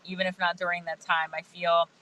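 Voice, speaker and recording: She is a woman whose first language is Hindi. Her voice has a bright, sharp ring. She speaks in a reflective, candid way and laughs often. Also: on the low side, flat delivery, fairly quick, rough recording. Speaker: guest. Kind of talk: casual video-call conversation. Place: outdoors in the rain.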